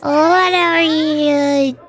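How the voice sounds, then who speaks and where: High-pitched woman reading game dialogue. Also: steady tone, very slow.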